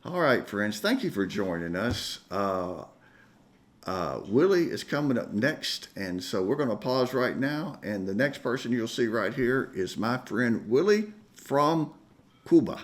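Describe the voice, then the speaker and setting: A male pastor making folksy, conversational announcements to his congregation, with frequent pauses and fillers. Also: mid-range voice, big pitch swings. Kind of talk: church announcements. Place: church sanctuary.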